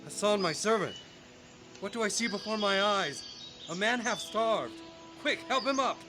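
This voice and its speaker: A man's voice: sits high, animated, moderate pace. An actor playing a pompous, magnanimous king in a radio drama, speaking in a stately, declamatory manner. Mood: concerned. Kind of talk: theatrical radio drama acting. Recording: music under the voice.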